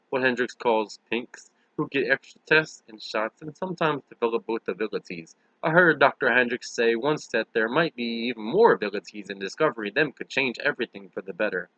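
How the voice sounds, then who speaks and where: A man reads the part of a lowly housekeeper in a novel. The delivery is earnest and plainspoken, confiding and explanatory. The voice is bright and forceful, in a dry, dull-sounding recording. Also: no room sound, lightly acted. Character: Maureen, lowly woman housekeeper confessing secrets, earnest and plainspoken.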